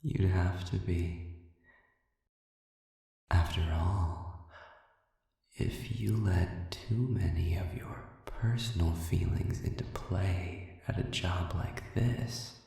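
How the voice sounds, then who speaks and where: A man playing a sadistic, silver-tongued demon prisoner in an audio roleplay, speaking in formal, mock-courteous phrases with a breathy, whispery menace. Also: deep voice, slow, warm tone, heavily acted. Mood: mock courtesy. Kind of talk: scripted audio roleplay addressed to the listener. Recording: very echoey, cavernous reverb, distant.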